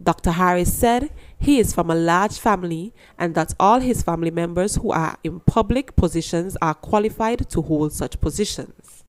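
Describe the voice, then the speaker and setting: Low-pitched woman reading news. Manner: formal, measured. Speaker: newsreader. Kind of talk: scripted news narration.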